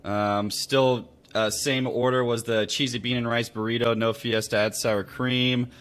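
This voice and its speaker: A man's voice: mid-range voice, level tone, unhurried, fairly bright tone, smooth. An American man speaking in a casual, conversational storytelling style as a podcast guest. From Louisville, Kentucky, United States. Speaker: podcast guest, real estate investor.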